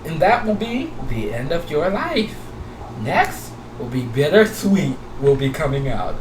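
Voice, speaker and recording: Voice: mid-range voice, sing-song, unhurried. A gaming video host giving casual commentary and a sign-off, gushing and repeating phrases over and over. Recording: noisy, echoey room, distant mic.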